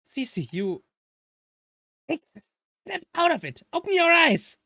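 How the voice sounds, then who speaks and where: A woman voicing an urgent, bossy adventurer in a visual novel, shouting frantic commands. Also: high voice, big pitch swings, takes it slowly, creaky, no room sound, clean studio sound, voice pushed up, exaggerated voice. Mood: frantic, commanding. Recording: music behind the voice.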